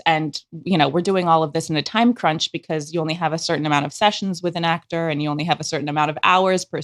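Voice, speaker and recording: Enthusiastic woman with a lowish voice. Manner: enthusiastic, expressive. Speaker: audio drama director and actor, guest. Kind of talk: casual interview chat.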